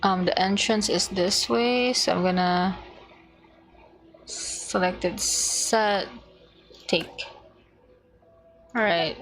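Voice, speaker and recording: A woman's voice: mid-range voice, fairly even intonation, very slow, pauses often, fairly bright tone, rough recording. A woman livestreaming a video game, chatting casually and muttering to herself in short fragments as she thinks aloud. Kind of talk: casual gaming stream chat, thinking aloud.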